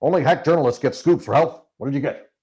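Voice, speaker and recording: A man voicing a gruff, profane, cynical journalist in an audiobook, brusque and haranguing. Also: a little high, fast talker, darkish tone, lightly acted. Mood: suspicious, incredulous. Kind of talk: acted audiobook character dialogue.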